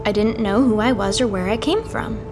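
A woman's voice: medium pitch, a little fast, over music, close to an everyday voice. A woman playing a sarcastic, easily flustered girl in an audio drama. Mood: reflective, uneasy.

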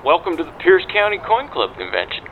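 A man's voice: a little high, huge pitch swings, brisk, phone-quality sound, slight background noise. A man playing a chatty, conspiratorial coin-club ticket clerk in an audio drama, with a chirpy, knowing manner. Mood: officious welcome.

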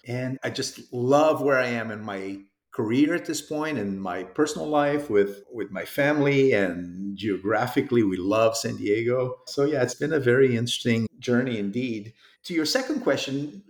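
A man's voice: mid-range voice, reverberant. A middle-aged man from Portugal, a sales executive being interviewed, talking in a reflective, rambling way. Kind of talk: conversational interview.

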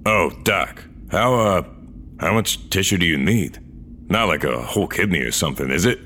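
A world-weary old cyborg man in a science-fiction audio drama. His voice sits very low, and he talks bluntly with gruff, dry wit. A faint bed of noise lies behind him. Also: expressive, normal speed, pushed and bright. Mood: guarded. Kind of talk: audio drama dialogue and first-person narration.

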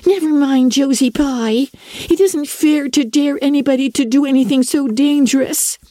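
Woman voicing a shrill frightened young girl. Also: moderate pace, warm tone, no echo, clean studio sound, lightly acted. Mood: pleading. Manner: pleading, shrill. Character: frightened young girl, a loyal best friend.

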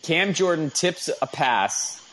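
A man hosting a casual sports chat, recalling things excitedly and correcting himself as he goes. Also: on the high side, slow pace, low-quality recording. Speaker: sports podcast host. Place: remote group call on a live audio app. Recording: faint ambient background noise.

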